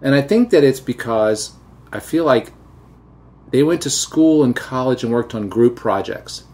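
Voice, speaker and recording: A middle-aged man with a Southern American lilt hosts a casual interview at an easy pace. He talks loosely and warmly about teaching, weaving in little stories. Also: mid-range voice, unhurried. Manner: relaxed, chatty, tells anecdotes. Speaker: podcast host and teacher.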